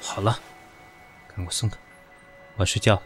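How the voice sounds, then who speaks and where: A man's voice: lowish voice, fairly even intonation, moderate pace, a bit bright, faint music behind, close to an everyday voice. An actor playing a composed, sardonic, stern professional man in an audio drama, dry and commanding. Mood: tired, curt. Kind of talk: Chinese audio drama acting.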